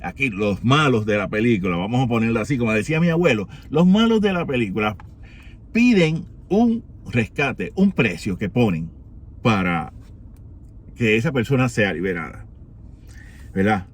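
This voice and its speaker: A man from Rico, giving an unhurried reflection for morning prayer, with many pauses and rhetorical questions. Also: mid-range voice, expressive, slight background noise. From Puerto Rico.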